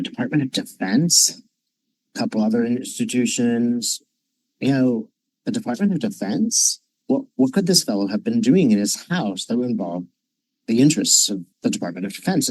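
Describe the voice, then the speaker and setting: A man talks in a loose, conspiratorial ramble, stopping often on fillers. His voice sits in a middle pitch. The sound is dry and close, with no room around it. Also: a little mellow, breathy edge, no echo, rough recording. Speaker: channel host. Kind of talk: casual commentary.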